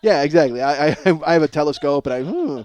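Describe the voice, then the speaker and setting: A man's voice: a little high, lively intonation, fast talker, a little mellow. A man hosting a comedy podcast in a rambling, self-mocking monologue, ranting with profane exasperation.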